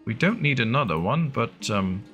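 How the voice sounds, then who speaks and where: A man's voice: mid-range voice, animated, moderate pace, a bit bright, lo-fi sound, faint music behind. A man who is not a native English speaker, streaming a game and chatting casually with viewers, full of fillers. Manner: chatty, answering chat with frequent fillers. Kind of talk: casual live-stream chat while playing.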